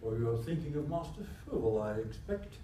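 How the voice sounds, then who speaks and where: A man acting a weary, kindly sorcerer master, principled and gentle, in staged radio theatre. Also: mid-range voice, sing-song, normal speed, lightly acted. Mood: measured. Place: indoor convention performance before microphones. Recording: echoey live room, distant mic, faint noise.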